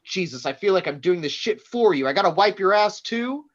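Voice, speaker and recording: An actor playing a brash, demanding, foul-mouthed tech tycoon, pushy and sarcastic, in a screenplay table read. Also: male voice, high-pitched, animated, a little fast, highs cut off. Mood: demanding, irritated.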